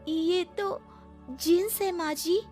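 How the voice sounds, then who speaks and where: A woman voicing a meek, naive young village daughter-in-law in an animated story, hesitant and timid. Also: very high voice, slow pace, soft background music, voice pushed up. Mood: timid, puzzled. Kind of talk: animated moral story acting.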